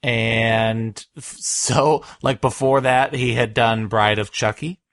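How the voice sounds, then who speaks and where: A man co-hosting a podcast in casual chat, enthusiastic and rambling, his voice going hoarse. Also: mid-range voice, fairly even intonation, slow, a bit bright, no room sound, clean studio sound. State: losing his voice. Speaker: podcast co-host.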